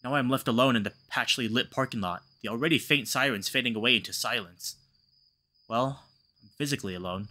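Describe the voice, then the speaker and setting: A man voicing a battered, weary animal hero in measured, dramatic first-person visual novel narration. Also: medium pitch, bright, forceful tone, voice pushed down, natural voice. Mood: somber, weary. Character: battered, weary, stoic male animal narrator, gently reassuring.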